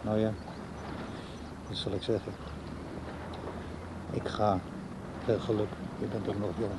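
A man acting in a radio play as an insistent, needy old man who talks haltingly to a much younger woman. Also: on the low side, flat delivery, noisy background. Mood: pleading, resigned. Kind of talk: naturalistic radio play dialogue.

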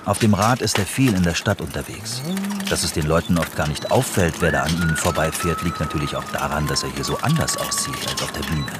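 A man's voice: rumbling low, fairly quick, heavy background noise. A man narrating a scripted German radio documentary. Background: music.

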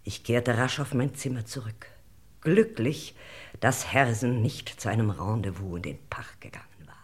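An actress playing a frightened, emotional lady witness in a German radio crime play, telling her account with dramatic swells and hushed drops. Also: very deep voice, normal speed, slight background noise. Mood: anxious, hushed.

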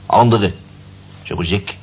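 An actor in a radio play as a resigned, wistful middle-aged working-class husband, talking in a colloquial, musing, affectionate way. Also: male voice, lowish voice, slow pace, darkish tone. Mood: defensive, resigned. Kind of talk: naturalistic radio play dialogue. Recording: dull, noisy old radio recording with hum.

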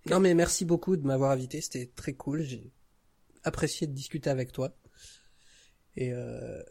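Male podcast guest, mid-pitched and slightly rough-edged, informal and easygoing conversational delivery. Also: normal speed, warm tone. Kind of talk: casual conversational chat.

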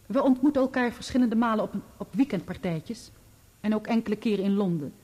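Composed, mellow-voiced woman witness played by an actress. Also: mid-range voice, close to an everyday voice. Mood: composed, factual. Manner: measured, articulate answers in full formal sentences. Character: composed, loyal bereaved woman testifying as a witness. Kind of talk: Dutch radio play acting.

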